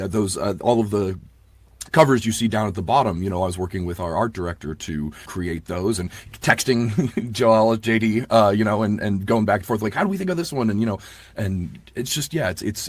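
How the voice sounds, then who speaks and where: Man, deep husky voice, casual interview chat, halting and rambling, dry sound. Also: dry recording. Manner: rambling, halting, restarts sentences often. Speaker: audiobook narrator and producer.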